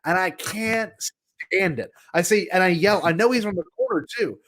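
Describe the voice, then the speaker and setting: A man with a fairly high voice co-hosts a casual podcast. He talks in an animated, enthusiastic way with a joking streak. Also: moderate pace. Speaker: podcast co-host.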